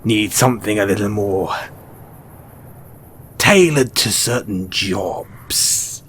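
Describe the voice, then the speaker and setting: A man playing a shrewd, mysterious post-apocalyptic merchant in an audio roleplay, speaking in an insinuating, theatrical way with drawn-out pauses. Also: fairly low pitch, fairly bright tone, a little hiss or hum. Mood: wily salesmanship. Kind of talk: audio roleplay character acting addressed to the listener.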